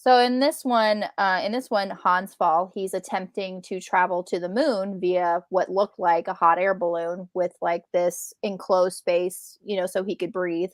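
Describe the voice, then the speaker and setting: A woman co-hosting a casual book discussion, retelling in a chatty, animated way with quoted asides. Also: fairly low pitch, unhurried, few pauses, no echo. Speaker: book club co-host.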